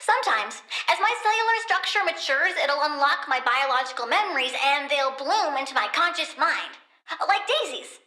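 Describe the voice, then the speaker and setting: A woman's voice: high voice, expressive, normal speed, sharp tone, echoey room. A woman voicing a young, childlike plant-woman supervillain in a video game, curious, blunt and petulant, with an inquisitive, awkward manner. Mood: matter-of-fact. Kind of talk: video game character acting.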